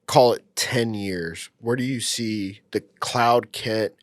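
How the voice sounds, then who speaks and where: A man's voice: fairly low pitch, slow pace, dry recording, clean studio sound. Loose, swearing male podcast host. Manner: loose, profane, hyping the guest. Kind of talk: casual interview chat.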